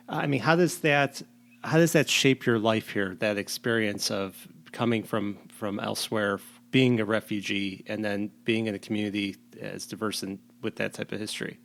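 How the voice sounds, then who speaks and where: A man's voice: mid-range voice, creaky. A man hosting an interview, asking long, conversational framing questions. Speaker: podcast host.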